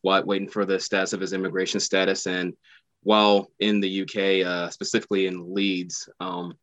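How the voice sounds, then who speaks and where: A man's voice: low voice, level tone, moderate pace, a bit bright, no echo. A man, an actor, answering interview questions casually and hesitantly, full of fillers. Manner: hesitant, many fillers like "you know". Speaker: actor guest.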